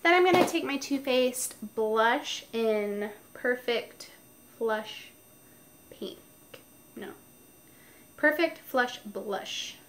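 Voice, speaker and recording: A woman's voice: on the high side, very slow, pauses often, a bit bright. A woman with a stuffy nose, chatting casually through her makeup routine step by step while recording at home. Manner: chatty, explains each step. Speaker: beauty vlogger. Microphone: noisy mic.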